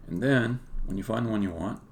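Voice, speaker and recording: A man who develops games, talking casually and haltingly to himself as he live-codes on a stream. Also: on the low side. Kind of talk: casual live-coding commentary, thinking aloud. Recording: faint background noise with keyboard clicks. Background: computer keyboard typing and clicking.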